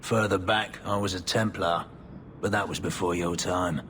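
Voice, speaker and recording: Low, jaded, sardonic ex-knight. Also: male voice, steady tone, moderate pace, a bit bright, slight background noise. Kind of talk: dramatic video game dialogue.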